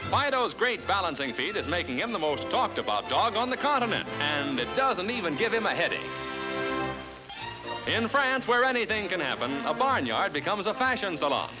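American male 1940s newsreel announcer, high and animated, jaunty theatrical delivery, vintage soundtrack. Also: moderate pace, rough recording. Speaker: newsreel narrator. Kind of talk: 1940s newsreel commentary, scripted narration. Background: loud orchestral newsreel music.

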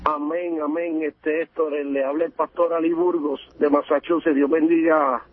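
A man's voice: fairly high pitch, flat delivery, moderate pace, breathy edge, no room sound. A man, a pastor, calling in to a radio show and greeting many people by name. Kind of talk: phone-in greeting. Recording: tinny phone line.

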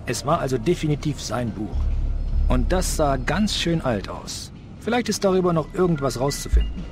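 Chatty male police lab tech acted by a man, mid-pitched with lively inflection, music underneath; radio play. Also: normal speed. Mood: intrigued. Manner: wry, colloquial. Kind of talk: audio drama acting of a video game story.

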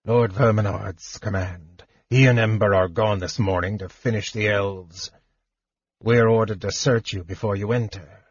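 A man's voice: fairly low pitch, steady tone, a little slow, slightly rough, no room sound, lo-fi sound. A man voicing a menacing draconian guard, a reptilian soldier who growls and snarls his words. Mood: growling.

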